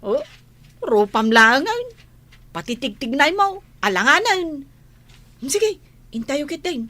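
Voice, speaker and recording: A woman's voice: high-pitched, sing-song, takes it slowly, bright, forceful tone, full character voice. A woman with an Ilocano accent playing a protective, fussy and sassy older-sister housemaid in a radio comedy drama. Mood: sassy, scornful. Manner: exclaiming, fussy, teasing.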